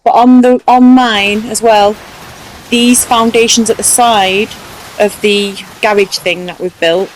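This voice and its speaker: A woman's voice: medium pitch, fairly even intonation, a little slow, slight background noise, poor audio. A woman with a strong Mancunian accent, casually talking through a game building tutorial step by step. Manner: chatty, explaining step by step. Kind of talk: casual commentary over gameplay.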